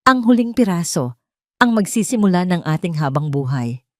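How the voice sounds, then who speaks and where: Calm, devoted man in love voiced by a woman. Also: on the low side, moderate pace, no echo, clean studio sound. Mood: gentle, assured. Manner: calm, gentle. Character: devoted, calm male lover, gentle and assured.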